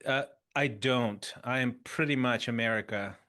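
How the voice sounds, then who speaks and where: Man, 50s, podcast guest, animated storytelling, restarts phrases, mid pitch. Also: normal speed. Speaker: interview guest. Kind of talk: conversational storytelling.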